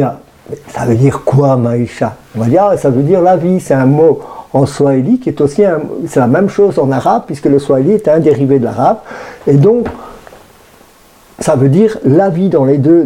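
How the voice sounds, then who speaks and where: A man's voice: medium pitch, warm tone. A man around sixty with a Brussels Belgian French accent, a radio guest talking casually with many hesitations and fillers.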